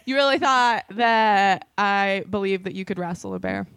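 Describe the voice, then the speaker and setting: A co-host trades casual jokes in a deadpan, playful way. The voice sits at an ordinary middle pitch. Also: normal speed, smooth. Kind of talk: casual banter.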